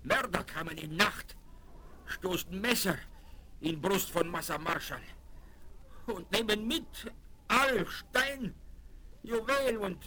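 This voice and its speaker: An actor playing a distraught, devoted servant man in a Western radio play, speaking halting, exclamatory broken German. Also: high voice, pushed and bright, exaggerated voice. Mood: agitated grief. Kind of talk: 1970s radio play acting. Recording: hissy vinyl record transfer.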